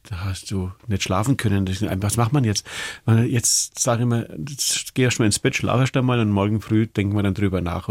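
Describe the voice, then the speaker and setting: A middle-aged Franconian cabaret artist tells lively stories from the stage in a lowish voice. His Franconian German accent is clear, and he tags his sentences with little questions. The sound is dry and close. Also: no echo. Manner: storytelling, lively, fillers like "ne?". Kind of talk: anecdotal interview chat.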